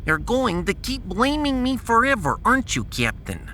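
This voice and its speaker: A man's voice: extremely high pitch, very animated, normal speed, pushed and bright, soft background music, pitched above the performer's own voice, full character voice. A man voicing an earnest, thoughtful starship scientist in a science fiction audiodrama. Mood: wounded.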